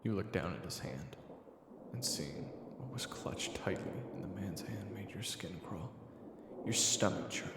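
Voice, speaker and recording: A man's voice: low-pitched, expressive, unhurried, very noisy. A man narrating a scripted second-person story, in a reverberant recording. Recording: reverberant, echoey, music underneath. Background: background music.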